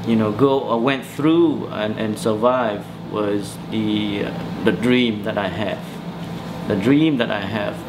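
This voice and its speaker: Low-voiced Vietnamese man, halting. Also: background noise. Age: 40s. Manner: halting, hesitant, searching for words. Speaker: interviewee, musician. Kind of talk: oral history interview, personal recollection. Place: small bedroom, indoors.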